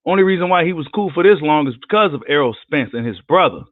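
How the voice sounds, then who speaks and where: A man with a worn, hoarse voice delivers a heated, profane rant on a live boxing video. His tone sits a touch high for a man. The sound is dry and thin, like a phone line. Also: lively intonation, fast talker, no room sound. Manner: heated, profane, combative ranting. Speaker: boxing commentator.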